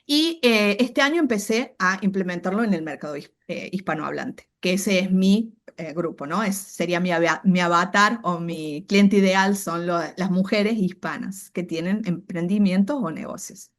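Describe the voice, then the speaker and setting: A woman's voice: on the low side, moderate pace, hardly any pauses. A middle-aged woman with a Cordobese Argentine accent, chatting casually as an interview guest. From Córdoba, Argentina. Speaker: podcast guest, AI and branding entrepreneur.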